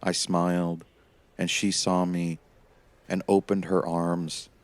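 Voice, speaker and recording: A man playing a weary, haunted time-traveling prisoner who narrates his memories in a measured, somber, reflective way, as in an audio play. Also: sits low, level tone, no room sound. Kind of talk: scripted first-person dramatic narration.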